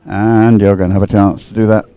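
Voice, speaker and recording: A man's voice: lowish voice, flat delivery, fairly quick, mellow, smooth. A man giving unscripted live sports commentary in a hesitant, rambling way, as if thinking aloud.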